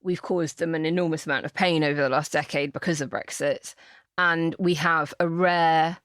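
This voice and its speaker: Low-voiced woman explaining policy. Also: fairly even intonation, moderate pace, a bit bright, no room sound, clean studio sound. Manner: explanatory, frank. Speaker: panelist and policy commentator. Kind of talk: informal political discussion.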